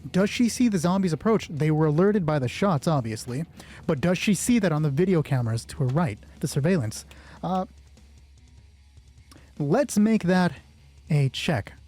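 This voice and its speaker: A man with a slightly high yet husky voice runs a solo roleplay game, talking through it as he goes. He is casual and swears freely. Music plays clearly behind him. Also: warm tone, no echo. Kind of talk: solo tabletop roleplay, narrating and thinking aloud. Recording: background music under the voice.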